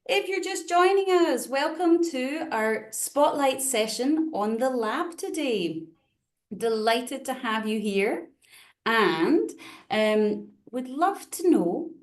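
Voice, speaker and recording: A Scottish woman from Scotland hosting an online session over a video call, chatty and friendly, with frequent fillers. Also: fairly high pitch. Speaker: webinar host.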